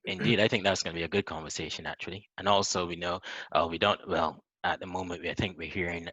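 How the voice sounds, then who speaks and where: Bright-voiced Barbadian host. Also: male voice, sits low, fairly even intonation, fast talker, husky, no echo, poor audio. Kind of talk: casual video chat.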